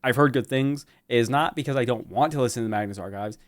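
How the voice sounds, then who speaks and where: Fast-talking, teasing man. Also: medium pitch. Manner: teasing, joking. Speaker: online show panelist. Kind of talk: casual banter on a livestream.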